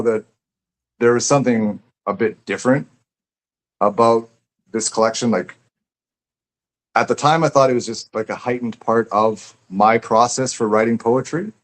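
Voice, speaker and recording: A man speaking casually as an interviewed poet, reflective and halting as he searches for his words. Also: mid-range voice, low-quality recording. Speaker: poet and author being interviewed. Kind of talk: casual conversation about own writing. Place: live online stream.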